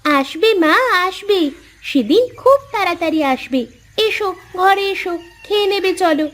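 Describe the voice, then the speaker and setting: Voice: very high-pitched, normal speed, a bit bright. A performer voicing a cheeky, affectionate young farmer's daughter in a moral-story cartoon, teasing and a little bossy. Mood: teasing, proud.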